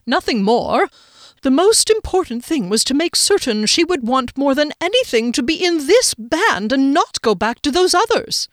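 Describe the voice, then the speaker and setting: A smug, haughty man in an audiobook, acted out by a woman, dismissive and quick to take offence. The delivery is animated, with wide swings of pitch and a dry, close sound. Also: dry recording. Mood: impatient. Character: pompous, smug, easily affronted man, self-satisfied schemer. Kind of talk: audiobook character dialogue.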